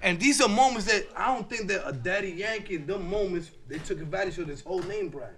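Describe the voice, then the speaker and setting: A man's voice: high voice, quick pace, a bit bright. A man from Newark, New Jersey, guesting on a podcast as a mixtape DJ, talking in emphatic street slang with plenty of cursing. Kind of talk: casual podcast interview. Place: podcast studio.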